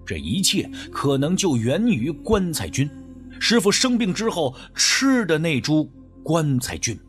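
Male narrator for suspense fiction: slightly high voice, highly expressive delivery, scripted and dramatic. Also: a little slow, faint music behind. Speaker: audiobook narrator.

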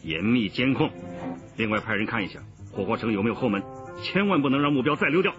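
An actor playing a steady, commanding, patient male police detective chief in a Chinese radio crime drama. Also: mid-range voice, quick pace, natural voice. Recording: muffled band-limited audio with music behind.

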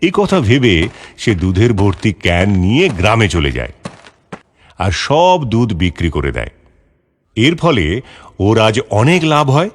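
A man's voice: on the low side, sing-song, unhurried, pauses often, slight background noise. A man narrating a scripted moral story for children. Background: background music.

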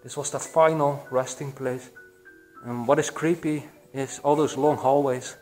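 A young Belgian man talks through his walk around an abandoned building, sometimes excited and sometimes hushed, with lots of "um" and "uh". His voice sits mid-range and has a faint bed of music under it. The sound comes from an action camera he carries. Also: mid-range voice, a little slow, rough recording. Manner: excited, hushed, with frequent fillers. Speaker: urban explorer vlogger. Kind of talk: casual vlog narration. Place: abandoned building, echoey halls and attic. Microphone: action camera, handheld or body-worn.

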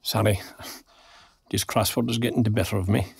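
Talkative older man, Irish accent, gravelly, husky, folksy, genial retiree, audio drama. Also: lowish voice, fairly even intonation, brisk, no room sound, heavily acted. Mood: apologetic, polite. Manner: chatty, folksy, old-fashioned turns of phrase. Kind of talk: naturalistic audio drama acting.

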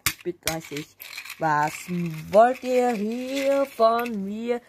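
A woman's voice: a little high, noisy background, heavily acted. A woman voicing a vain, boastful talking toy car in a toy-play story. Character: vain talking golden toy car, thirty years old.

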